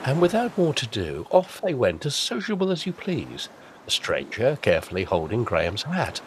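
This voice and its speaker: A man's voice: medium pitch, wildly swooping pitch, moderate pace, slight background noise. A man narrating a scripted audio drama as a dramatic storyteller. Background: music.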